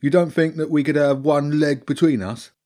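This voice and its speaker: Man doing a high, wheedling sewer rat. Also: steady tone, normal speed, darkish tone, close to an everyday voice. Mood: hopeful wheedling. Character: shifty, sheepish, greedy mercenary sewer rats.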